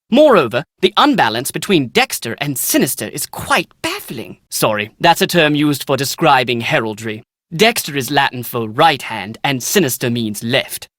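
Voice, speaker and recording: A man's voice: fairly high pitch, fairly bright tone, no echo, clean studio sound. A man playing a pompous, precise British scholar in a video game. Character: pompous, nervous British male scholar writing his memoirs. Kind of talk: scripted video game dialogue.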